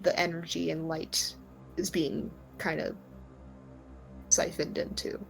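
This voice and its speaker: A woman's voice: low voice, flat delivery, slow pace, gravelly, low-quality recording. Woman game master narrating over music. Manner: conversational, descriptive. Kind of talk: improvised narration of a tabletop roleplaying game. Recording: music behind the voice. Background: background music.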